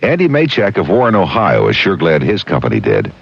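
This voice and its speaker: A man with a very low, husky voice reads a radio announcement. He is direct and persuasive, lively in intonation, on a dull vintage recording. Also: brisk, no echo. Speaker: public service announcer.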